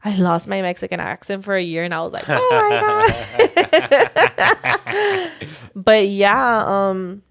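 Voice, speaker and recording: Animated young woman chatting with laughs. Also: medium pitch, slow, creaky. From United States. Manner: animated, laughing, frequent likes. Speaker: guest. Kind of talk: casual conversation.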